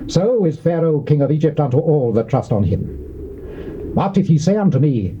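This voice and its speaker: An actor with a British accent playing a boastful, threatening Assyrian envoy, declaiming in a taunting, theatrical biblical drama style. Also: male voice, on the high side, animated, normal speed, natural voice. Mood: scornful challenge. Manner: declamatory, taunting, archaic oratory. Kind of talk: theatrical dramatized scripture reading. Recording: muffled old cassette with background hiss.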